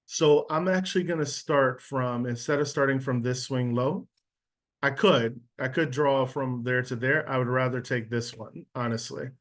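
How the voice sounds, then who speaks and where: A man's voice: mid-range voice, moderate pace, a bit bright. A man teaching a casual live trading lesson over a video call, checking in often with his listeners. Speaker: trading instructor.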